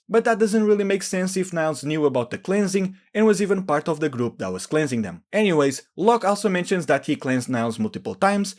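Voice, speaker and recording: A man's voice: a little high, darkish tone. A man presenting a scripted video essay as a commentator, giving his own opinions on game lore.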